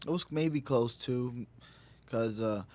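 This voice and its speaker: A man's voice: mid-range voice, slow, mellow, no echo. Male co-host, loose slangy storyteller, casual and profane. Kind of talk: casual chat.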